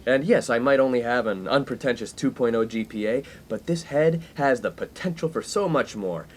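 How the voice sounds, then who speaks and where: A man's voice: medium pitch, normal speed, slight background noise, natural voice. An actor playing a pompous, skirt-chasing young college man in a 1950s comedic radio play, given to grandiose, wordy speeches. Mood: obsequious.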